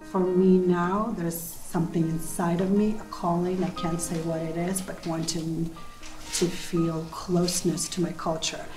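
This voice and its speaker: A woman's voice: fairly low pitch, little pitch movement, over music. Thoughtful woman in an echoey room. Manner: slow, searching, thoughtful. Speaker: parent. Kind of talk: personal interview.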